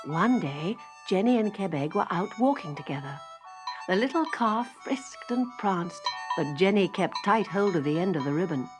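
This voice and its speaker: A woman narrating a children's story in an expressive storytelling manner. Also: medium pitch. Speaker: storybook reader. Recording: faint music behind the reading.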